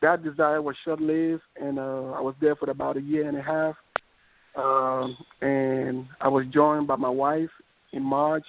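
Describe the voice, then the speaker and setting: A middle-aged man with a Liberian accent introducing himself formally and deliberately as a party official on a call-in show. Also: medium pitch, little pitch movement, slight background noise. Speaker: political party official, guest. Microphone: telephone. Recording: thin narrow phone line.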